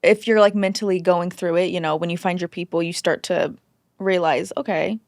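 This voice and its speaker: A woman's voice: lowish voice, fairly even intonation, brisk, darkish tone, no echo, studio quality. A woman chatting casually and candidly as a podcast guest, often acting out remarks she quotes. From Southern United States. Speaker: podcast guest, former teacher.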